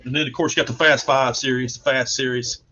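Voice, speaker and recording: A man's voice: mid-range voice, fairly even intonation, fast, bright, forceful tone. Casual man on dull lo-fi audio. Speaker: guest comic book artist. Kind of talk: casual chat.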